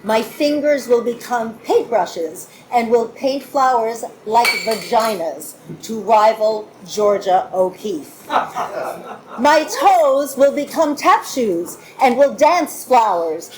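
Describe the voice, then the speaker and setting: A woman from California reads her poems at a live event. She talks briskly and chattily, then shifts into lyrical reading. Her voice sits fairly high, with faint ambience behind her. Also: expressive, noisy background. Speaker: guest poet. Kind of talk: poetry reading. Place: bar venue, live audience.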